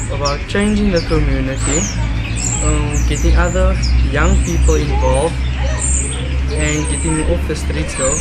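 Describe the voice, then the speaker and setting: Young man, thoughtful halting answers, a bit high voice, heavy noise behind. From Cape Town, South Africa. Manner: hesitant, thoughtful, with many fillers. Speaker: youth camp participant. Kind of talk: on-camera interview answers. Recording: loud background noise.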